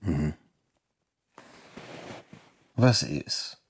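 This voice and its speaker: A man's voice: a bass voice, lively intonation, extremely slow, slight background noise, full character voice. A man playing an evasive, sardonic lover in naturalistic radio drama dialogue, dodging with dry mockery. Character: evasive, sardonic lover stuck in a small town.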